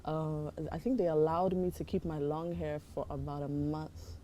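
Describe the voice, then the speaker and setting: A woman, an actress and TV host who grew up in California, answers interview questions and tells stories about her past in a relaxed way. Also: deep voice, moderate pace, a little hiss or hum.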